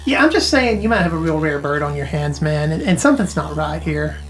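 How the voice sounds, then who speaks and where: A low-pitched female voice performed by an actress plays a tech-savvy woman in a naturalistic audio drama. She talks casually and explains in an informal, hedging way. Faint music sits behind her. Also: animated, fairly quick. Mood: explanatory, intrigued. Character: tech-savvy, casual woman who knows retro arcade games. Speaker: character in a mystery audio drama.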